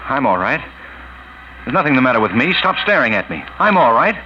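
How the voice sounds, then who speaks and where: A man's voice: medium pitch, big pitch swings, a little fast, a little hiss or hum. A man playing a guilt-ridden, stammering, distracted murderer in a 1940s radio drama. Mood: defensive. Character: guilt-ridden, jittery murderer wandering at night. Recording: lo-fi vintage radio, loud music.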